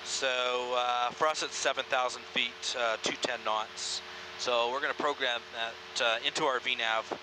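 Calm pilot explaining in a cockpit. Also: male voice, medium pitch. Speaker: airline first officer. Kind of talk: cockpit commentary. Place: airliner cockpit. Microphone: aviation headset. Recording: engine noise behind.

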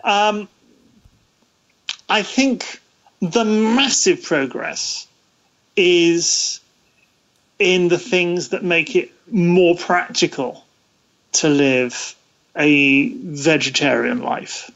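A man giving thoughtful interview answers, halting as he searches for words. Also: fairly high pitch, vocal fry, fairly bright tone, highs cut off. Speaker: interview guest, radio series producer.

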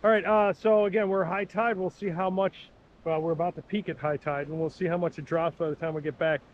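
A man's voice: sits high, fairly even intonation, brisk, muffled recording, slight background noise. A middle-aged man vlogging a hike along a rocky seaside trail, chatting casually and rambling with curiosity into a camera on a pole. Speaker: hiking vlogger. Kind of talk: casual walking commentary. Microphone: 360 camera on a pole.

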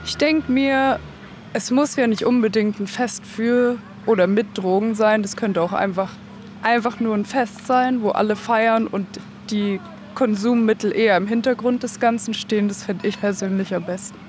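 A woman's voice: on the high side, normal speed, darkish tone, a little hiss or hum. A young woman thoughtfully sharing her views in a street interview outdoors. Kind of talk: reflective casual talk. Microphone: handheld interviewer mic.

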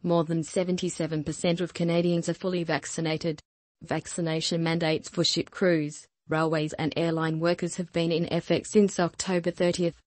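A woman reading a scripted news report in an even, steady newsreader delivery as a voice-over. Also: on the low side, normal speed, no room sound. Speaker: news reader.

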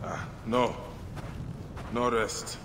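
A man's voice: medium pitch, level tone, drawn-out words, big-room sound, noisy background. An actor voicing a weary, determined male warrior, terse and grim.

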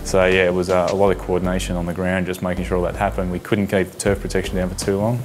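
A man's voice: sits low, completely flat delivery, quick pace, music in the background. A man, giving an interview testimonial on a lapel mic. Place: bright indoor stadium room.

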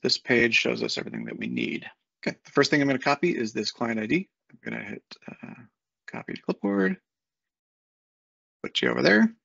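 A man in his thirties walking through a software setup on a screen share, talking casually step by step with fillers, recorded on a desk mic at home. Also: mid-range voice, very animated, brisk, gravelly, long pauses, husky, dry recording. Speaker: software consultant. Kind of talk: screen-share tutorial walkthrough. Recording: dull lo-fi dry sound.

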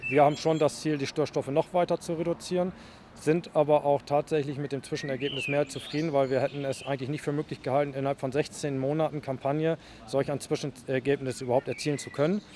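A man in his forties giving a press interview as a manager, speaking haltingly with frequent hesitations. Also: medium pitch, dark tone. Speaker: waste company manager. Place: outdoors beside a garbage truck. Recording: clear outdoor background noise.

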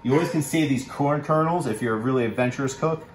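A man's voice: mid-range voice, quick pace. Man, thirties, chef, casual cooking demo, filler-heavy, echoey kitchen. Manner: relaxed, instructive, many ums and uhs. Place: large home kitchen, indoors. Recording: echoey kitchen room sound.

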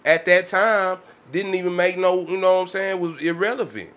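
A man's voice: high-pitched, moderate pace, a bit bright. A man chatting casually on a podcast, emphatic and often repeating his phrases. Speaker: podcast panelist. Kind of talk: casual chat. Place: small podcast room. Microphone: studio mic on boom arm.

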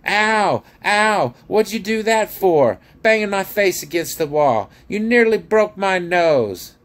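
A man's voice: mid-range voice, expressive, a little slow, voice pushed up, close to an everyday voice. A man voicing a surly, stubborn, indignant male dwarf in a storybook reading, with a grumbling, scornful, colloquial manner. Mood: howling, aggrieved.

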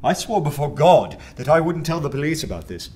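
An actor playing a bold undercover detective in a radio drama, sardonic and animated. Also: male voice, fairly high pitch, fairly quick. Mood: earnest, secretive. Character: wry, bold undercover detective posing as a poet. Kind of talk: full-cast radio drama acting.

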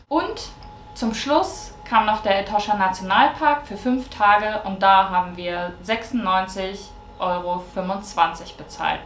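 A woman's voice: medium pitch, reverberant, slight background noise. Young woman listing trip expenses plainly. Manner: plain, listing items one by one. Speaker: travel vlogger. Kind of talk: scripted cost breakdown to camera. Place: bedroom with mosquito net, indoors.

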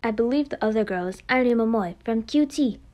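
A woman voicing a calm, composed teenage former idol in an anime game, measured and matter-of-fact. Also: fairly high pitch, pitched below the performer's own voice, close to an everyday voice. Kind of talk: amateur anime game voice acting.

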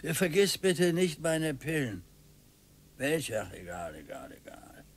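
Radio drama: a peevish old retired husband, high and up-and-down in pitch, slow, self-pitying. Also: male voice, big pitch swings, slightly rough, full character voice. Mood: grumbling. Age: older. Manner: grumbling, self-pitying, whiny. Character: grumpy bored retired husband, older.

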